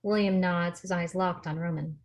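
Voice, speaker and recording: A woman with a fairly low voice narrates a film script. She reads the stage directions plainly between the actors' parts on a video call. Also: steady tone, moderate pace. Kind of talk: scripted narration at a table read.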